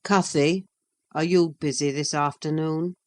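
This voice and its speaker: A resentful young man speaks bluntly and won't budge, low and slow. A woman reader voices him in a storybook reading. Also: fairly even intonation, darkish tone. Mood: sullen. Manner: blunt and stubborn.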